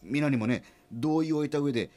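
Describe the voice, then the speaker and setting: A man's voice: on the high side, brisk, a little mellow, no room sound, lightly acted. An actor playing a sheepish, well-meaning middle-aged company president in a comedic radio drama, stammering and repeating his words when flustered. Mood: apologetic explaining.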